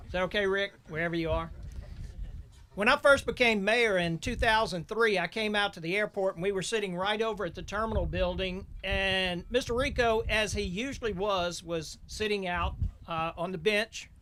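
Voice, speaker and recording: A man's voice: high-pitched, moderate pace, sharp tone, a little hiss or hum. A middle-aged man giving a folksy welcome speech as master of ceremonies.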